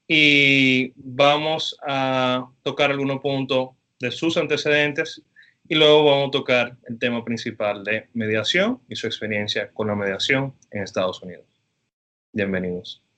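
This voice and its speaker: Bright-voiced male professor hosting. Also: medium pitch. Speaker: professor interviewing a guest for his class. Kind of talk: class interview over video.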